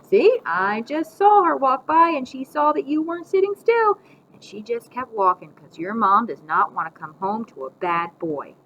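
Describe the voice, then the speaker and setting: A woman reading a storybook puts on a bossy old grandmother, pitched up high and theatrically sweet. She teases and orders a child about, with clear noise behind the voice. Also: sing-song, normal speed, a bit bright, poor audio. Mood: scolding. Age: older. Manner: commanding, snapping, falsely sweet. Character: domineering, teasing grandmother tricking a small boy. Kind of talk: storybook read-aloud.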